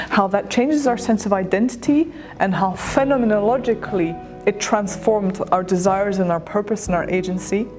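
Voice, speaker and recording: A woman's voice: mid-range voice, darkish tone. Articulate French-born woman teacher. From France. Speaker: university teacher. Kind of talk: documentary interview.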